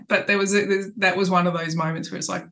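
A woman's voice: fairly low pitch, fairly even intonation, fairly quick, creaky, fairly bright tone. A middle-aged woman, a life coach earnestly talking to camera about living from the heart, often restarting her phrases, recorded on a webcam in a small room at home. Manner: earnest, spiritual, with many restarts. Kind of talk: reflective coaching talk to camera.

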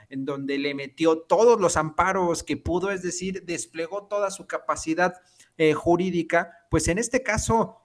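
Guy in his 40s, political analyst on a headset, emphatic, lotta "eh"s, a bit high. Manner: emphatic, frequent "eh" fillers. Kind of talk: political commentary on video call. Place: home study with bookshelves. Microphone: headset with boom mic.

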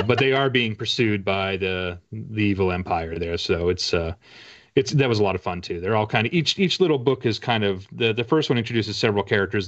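Male author guest, 40s, American, low voice, wry casual delivery, slightly dull video-call sound. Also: fairly bright tone. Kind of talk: casual interview talk. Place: small home room lined with bookshelves. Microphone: video call, at a desk.

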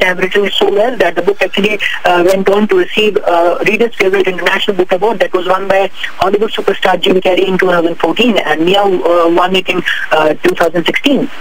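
A man's voice: high voice, a bit bright, rough recording. A man with a Pakistani accent, a guest author on a radio interview, talking fluently about marketing with many restarts. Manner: fluent, repetitive promotional talk with frequent restarts.

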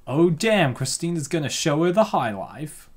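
A man's voice: on the high side, big pitch swings, moderate pace. A man giving casual, joking commentary as he reacts to a comic, with slangy, playful asides. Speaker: streamer reacting to comic dubs.

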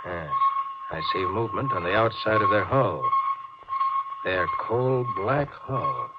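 An actor playing a stern, suspicious, sardonic spaceship captain in a 1950s radio drama, with clipped commands and brooding sarcasm. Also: male voice, lowish voice, wildly swooping pitch, slow pace, loud music behind the voice, heavily acted. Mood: dry, sardonic. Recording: noisy, dull 1950s radio recording.

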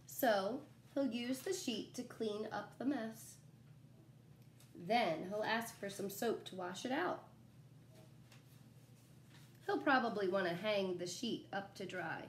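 A young adult woman reading a children's picture book aloud like a teacher, in an animated, expressive way with asides to young listeners. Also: medium pitch, slight background noise. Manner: animated, pausing to ask young listeners questions. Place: small living room at home, indoors. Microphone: phone camera a short distance away.

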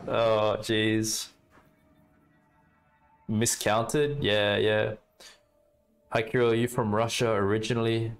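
Aussie guy streaming a card game, lowish voice, laid back chatter, bit of game music under it. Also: slow pace, pauses often. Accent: Australian. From Australia. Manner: relaxed, chatty, talks to chat while playing. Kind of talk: casual gameplay commentary. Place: indoor room at a desk.

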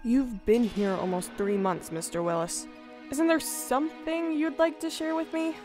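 A woman's voice: sits high, fairly even intonation, moderate pace, a little mellow, faint music behind, lightly acted. A woman playing a prim, clinical asylum psychiatrist in a comic dub. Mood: coolly patronising.

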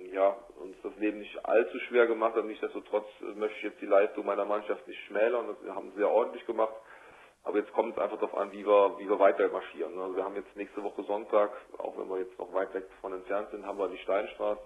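Male football coach, interviewed by phone. Low, flat delivery, measured and hesitant, tinny line. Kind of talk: phone interview, spontaneous sports talk. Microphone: telephone. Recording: tinny, band-limited telephone line.

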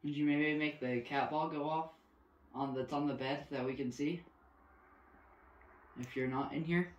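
Quiet off-mic man in an echoey room. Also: a little high, steady tone, a little slow, muffled recording. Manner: quiet wry asides from across the room. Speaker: fellow ghost hunter.

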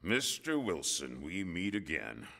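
Deep, stern judge in echoing hall. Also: male voice, huge pitch swings, measured pace, gravelly, bright, forceful tone, heavily acted. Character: stern, grave male courtroom judge. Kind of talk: radio drama acting. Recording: long reverberant hall echo.

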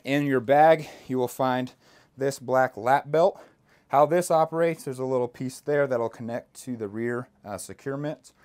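A man's voice: medium pitch, normal speed, darkish tone. A young man giving a step-by-step, hands-on demonstration inside a small parked bus, talking steadily with the odd filler as he works.

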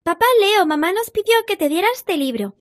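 Cartoon hippo kid: tiny, very high voice, eager and polite, lively swings, music behind. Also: female voice, a little fast. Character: excitable polite little hippo child. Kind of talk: children's cartoon voice acting.

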